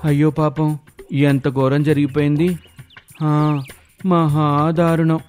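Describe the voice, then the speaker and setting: A man's voice: a little high, flat delivery, slow pace, darkish tone, faint music behind. A man voicing two silly village simpletons who bicker childishly over which one is cleverer. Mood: mock-grieving. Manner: childish bickering, sing-song whine. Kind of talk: cartoon voice acting.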